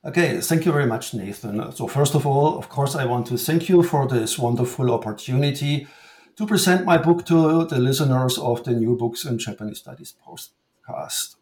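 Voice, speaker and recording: A man from Germany with a German accent, a professor answering interview questions in a formal, measured way. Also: medium pitch, a little mellow. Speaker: guest author, professor. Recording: roomy echo.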